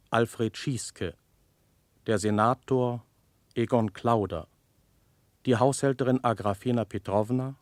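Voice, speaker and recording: A male radio announcer reads in a formal 1960s broadcast manner. His pace is slow and measured, in a very dry studio sound. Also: mid-range voice, a little mellow, no room sound.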